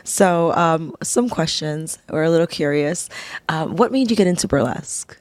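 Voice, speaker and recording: A woman hosts a casual interview, her voice sitting a little low and recorded dry, with no room sound. She stays upbeat, laughs a lot, and fills the gaps with quick reactions. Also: animated, no room sound. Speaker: interview host.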